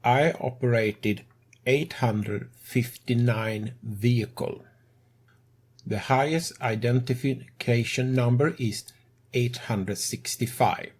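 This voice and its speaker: A non-native-English man narrates a computer game tutorial he hosts. His voice sits a little low and breathy, and he takes his time over each phrase. The grammar slips now and then, but the delivery stays calm and measured. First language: not English. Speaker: tutorial host.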